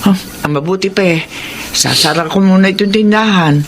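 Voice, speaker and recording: A woman's voice: mid-range voice, brisk, noisy background, natural voice. Frank, concerned Filipino aunt acted by a woman. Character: concerned, plain-spoken aunt who keeps a rice store. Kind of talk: Filipino radio drama acting.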